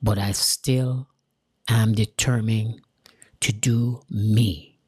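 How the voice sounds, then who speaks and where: Jamaican guy talking real slow and earnest, kinda husky, dry close sound. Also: fairly low pitch, fairly even intonation, darkish tone, no room sound. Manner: slow, deliberate and earnest, with emphatic repetition. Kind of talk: motivational direct-to-camera talk.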